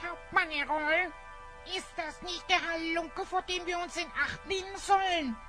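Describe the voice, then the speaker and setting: A performer playing a cheeky, bragging little elf goblin with a squeaky voice in a fantasy audio drama. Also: steady tone, a little slow, bright, forceful tone, soft background music, heavily acted. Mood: surprised, indignant. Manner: pert, bragging.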